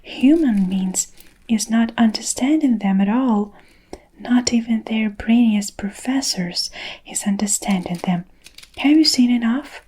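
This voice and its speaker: A woman voicing a big, friendly old male giant, whispering softly in muddled grammar. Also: on the high side, unhurried, slightly rough, rough recording. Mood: gentle.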